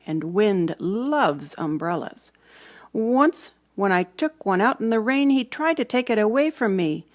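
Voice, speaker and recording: A woman narrates a children's storybook in a mid-pitched voice. She reads expressively, leaning on dramatic words and dropping into hushed moments. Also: expressive, measured pace. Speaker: storybook reader.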